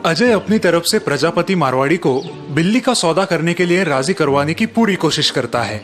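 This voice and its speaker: Animated male storyteller, fairly high voice. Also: moderate pace, soft background music. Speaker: narrator. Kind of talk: scripted story narration.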